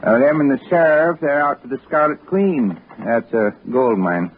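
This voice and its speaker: An actor playing a grizzled, blind old American local, folksy and foreboding, in a 1960s radio drama. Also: male voice, mid-range voice, lively intonation, normal speed, lightly acted. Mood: folksy warning. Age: older. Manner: folksy, drawling, hesitant warnings. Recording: muffled vintage radio recording, faint noise.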